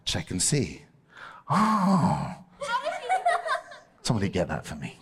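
A man's voice: sits low, huge pitch swings, takes it slowly, very noisy. A man presenting a playful object lesson to children in church, laughing often and calling out to the room. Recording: echoey hall.

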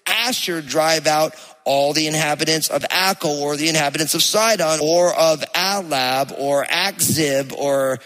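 Bright-voiced pastor from New Jersey. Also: male voice, on the high side. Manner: conversational, emphatic, slows to read place names. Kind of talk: sermon with scripture reading. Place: church hall with live congregation. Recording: long reverb of a church hall.

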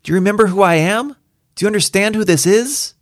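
Man acting an urgent, strained spaceship crewman, pitched up. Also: fast talker, dry recording, studio quality, lightly acted. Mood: urgent, pleading. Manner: insistent, strained, emphatic. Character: urgent younger man, spaceship crewman pleading with old mentor.

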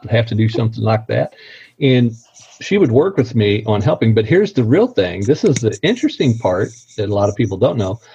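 A man's voice: on the low side, big pitch swings, a little fast, warm tone, slightly rough. A man from Missouri with a slight Missouri accent, chatting in a friendly, easygoing way as an actor being interviewed. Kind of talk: casual interview chat. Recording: dull lo-fi sound.

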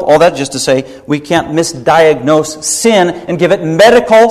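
A man's voice: on the high side, long reverb tail, poor audio. A man preaching a sermon as pastor, emphatic and rebuking, driving points home by repeating them.

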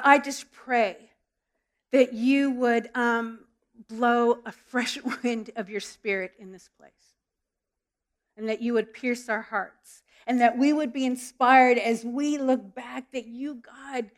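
A woman's voice: on the high side, fairly even intonation, slow pace. A middle-aged woman from Southern California preaching a sermon and praying in a church, earnest and storytelling, with small restarts. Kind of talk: sermon with prayer. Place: church sanctuary.

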